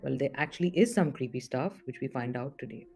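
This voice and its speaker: A woman with an Indian accent narrating a story as a podcast host, in a measured way that poses questions to build intrigue. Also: rumbling low, darkish tone. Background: music under the voice.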